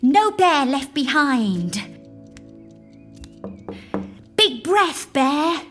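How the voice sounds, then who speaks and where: A woman playing a grieving, imaginative young girl who confides in her toy polar bear in an audio drama, hushed and childlike. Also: high voice, sing-song, slow pace, soft background music, full character voice. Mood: brave, playful. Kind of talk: intimate audio drama monologue.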